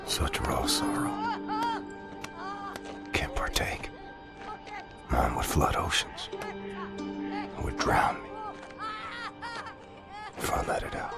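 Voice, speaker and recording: An actor playing a brooding, grief-burdened soldier's inner monologue in a video game. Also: male voice, very high-pitched, wildly swooping pitch, slow pace, creaky, long pauses, loud music behind the voice, full character voice. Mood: sorrowful. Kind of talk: poetic interior monologue.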